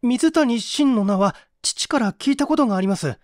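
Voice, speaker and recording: Bereaved young gentleman for mystery audiobook, male reader acting: raised pitch, animated, urgent yet polite. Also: moderate pace, no echo, clean studio sound. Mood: agitated, pleading. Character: upset young gentleman, bereaved son demanding justice. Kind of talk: dramatic audiobook reading.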